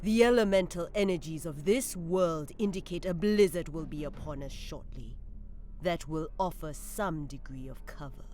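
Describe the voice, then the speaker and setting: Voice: mid-range voice, normal speed, darkish tone, a little hiss or hum, lightly acted. An actor playing a cold, aloof sorcerer in an audio drama, speaking formally and with detachment. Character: cold aloof sorcerer advising a renegade army. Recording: faint music under the voice. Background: faint dramatic music.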